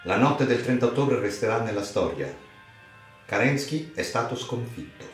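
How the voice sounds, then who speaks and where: Low guy doing dramatic radio theatre narration, echoey, music under it. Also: animated. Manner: theatrical, emphatic, measured dramatic delivery. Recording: roomy echo with music underneath.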